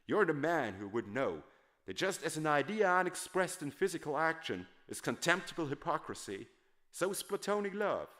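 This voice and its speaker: A man's voice: fairly high pitch, sing-song, normal speed, a bit bright. A man giving a dramatic, scripted audiobook reading of a character's monologue in an emphatic, oratorical manner. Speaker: literary reader performing a novel character's monologue.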